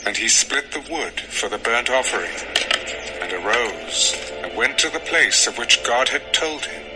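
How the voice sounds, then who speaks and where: Casting: male narrator, mid-pitched, formal and measured scripture storytelling, clear music bed. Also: animated, poor audio. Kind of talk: solemn dramatized scripture narration. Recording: music under the voice.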